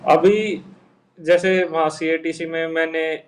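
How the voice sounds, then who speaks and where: A young man giving an informal, halting explanation as an interview guest, rephrasing step by step. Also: a little high, little pitch movement, slight background noise. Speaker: interview guest, junior air traffic controller. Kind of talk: informal explanation in Hindi mixed with English terms. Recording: voice distant from the microphone.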